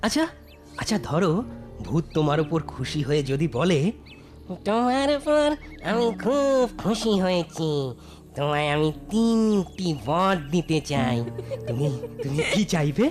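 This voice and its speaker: A woman's voice: fairly low pitch, very animated, a little slow, darkish tone, faint music behind. A woman voicing a bold, scheming village husband in a children's cartoon, theatrical and coaxing. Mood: musing, playful. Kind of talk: animated cartoon dialogue for children.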